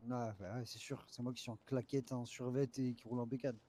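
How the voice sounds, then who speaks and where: Fast-talking man with a mellow voice. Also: medium pitch, flat delivery. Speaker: online roleplay game player. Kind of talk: casual slangy voice chat in an online game.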